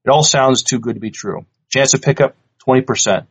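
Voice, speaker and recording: A man co-hosting a podcast, casually reading news items aloud in a halting, stumbling way. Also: medium pitch, vocal fry, slightly rough, dull audio. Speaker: podcast co-host.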